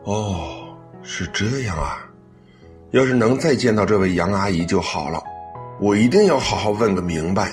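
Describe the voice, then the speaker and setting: A man's voice: on the low side, lively intonation, normal speed, soft background music, natural voice. A man acting a devoted, caring young adult son in a Chinese radio drama, speaking earnestly and expressively. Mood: curious.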